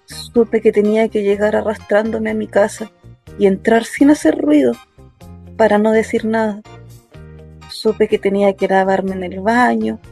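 A woman's voice: medium pitch, steady tone, measured pace, vocal fry, warm tone. A woman playing a young working-class Chilean daughter in a dramatic first-person radio play. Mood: bitter, wounded. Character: young working-class daughter recounting her assault, wounded, bitter. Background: music.

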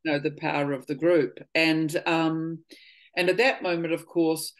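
Guest, fifties woman, low voice, earnest, circling thoughts, soft trailing phrases on a video call. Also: level tone, unhurried. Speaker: voice and healing workshop leader. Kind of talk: casual spiritual conversation. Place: home living room.

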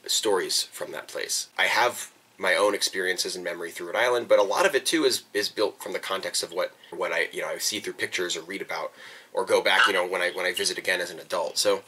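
Young man musing, bright low voice. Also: fast, rough recording. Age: young adult. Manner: thoughtful, hesitant, repeats words while searching. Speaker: vlogger. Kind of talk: reflective personal documentary talk. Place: small bedroom indoors.

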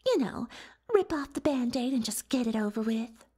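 A woman's voice: fairly high pitch, lively intonation, moderate pace, mellow, husky. A woman playing a boastful, self-dramatising unicorn pony magician in an ASMR roleplay, telling her tale with haughty theatrical airs and confiding asides. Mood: haughty, defensive. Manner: haughty, theatrical, third-person self-reference, conspiratorial asides. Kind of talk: ASMR roleplay monologue, storytelling.

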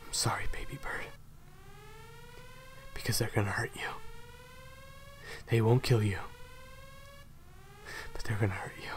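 A man playing a guilt-ridden, protective, foul-mouthed assassin in an audio roleplay, halting and repeating himself, often dropping to urgent whispers. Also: fairly low pitch, huge pitch swings. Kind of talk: audio roleplay drama addressed to the listener.

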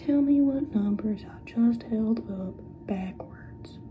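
A woman doing an ASMR roleplay as a clinical examiner, speaking softly, often in whispers, and giving short, playful instructions. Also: fairly high pitch, slow. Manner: soft-spoken, often dropping into whispers. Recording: dull lo-fi audio with clear background noise.

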